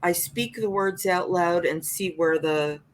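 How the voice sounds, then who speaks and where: Older woman, lowish voice, reflective, expressive, restarts sentences, podcast interview guest. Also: a little slow. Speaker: singer-songwriter guest.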